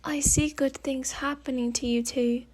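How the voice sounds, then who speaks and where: A woman's voice: high voice, fairly even intonation, measured pace, darkish tone. A woman voicing a playful, pleading spirit in a mirror, with a British accent and a theatrical, whiny manner, in a story reading. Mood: indignant.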